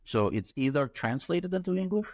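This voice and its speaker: A man's voice: on the high side, animated, moderate pace, darkish tone, no echo. A middle-aged man from Ukraine whose first language is Russian, explaining steadily as an interview guest over a video call. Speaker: author and editor, guest. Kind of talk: interview answers.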